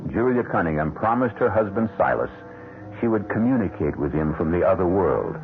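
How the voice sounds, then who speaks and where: A man narrates a vintage radio mystery with wry, deliberate menace. His voice is low, with music playing behind it. The sound is narrow and dated, like an old broadcast. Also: animated. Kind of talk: dramatic scripted narration, old-time radio.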